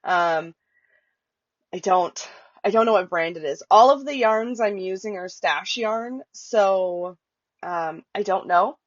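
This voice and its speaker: A woman's voice: medium pitch, takes it slowly, no echo. Stuffy-voiced woman hosting a chatty home crafting tutorial; congested, rambling, dry and slightly muffled sound. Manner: chatty, lots of fillers and restarts. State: stuffy, nasal from a cold. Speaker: crochet tutorial host. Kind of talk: casual tutorial talk. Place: home with dogs walking around. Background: dog nails clicking on the floor.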